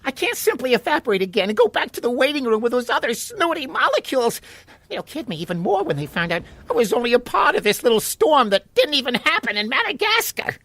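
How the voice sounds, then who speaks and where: High, bright, restless little water molecule. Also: male voice, sing-song, quick pace, dry recording, exaggerated voice. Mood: fed up. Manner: eager, complaining, urgent. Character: restless, self-important little male water molecule, fed up. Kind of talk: children's radio play acting.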